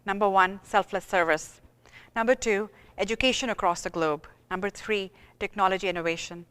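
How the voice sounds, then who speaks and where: A woman's voice: mid-range voice, steady tone, fairly bright tone, smooth. A woman from India, giving a formal, earnest lecture as a radiologist. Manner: earnest and measured. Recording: reverberant hall.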